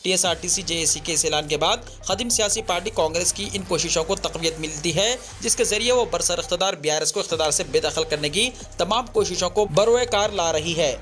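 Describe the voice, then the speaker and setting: A man's voice: sits high, a little fast, few pauses, a bit bright, soft background music. A man reads a scripted news bulletin in a formal, declarative way. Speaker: news reader.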